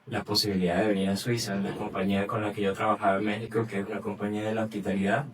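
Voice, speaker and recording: A Venezuelan man in his thirties speaks in a low voice, thinking aloud and looping back over his words as he explains himself. He sounds set back from the microphone. A faint background hum sits under him. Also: level tone, very fast. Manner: thoughtful, repeats words as he searches, laughs easily. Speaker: guest, journalist and content creator. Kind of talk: conversational interview. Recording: distant mic with faint background noise.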